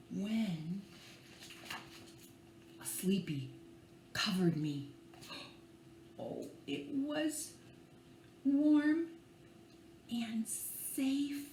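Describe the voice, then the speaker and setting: A woman reading a picture book to young children in an expressive, playful and theatrical way, drawing out words and adding hushed asides. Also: on the high side, drawn-out words, pauses often. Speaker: librarian reading at children's storytime. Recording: echoey room with faint background noise.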